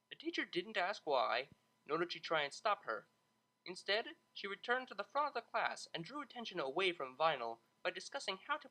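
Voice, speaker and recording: Bright, expressive story narrator. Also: mid-range voice, poor audio. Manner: expressive, dramatized reading. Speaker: audiobook narrator. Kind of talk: scripted fan fiction story narration.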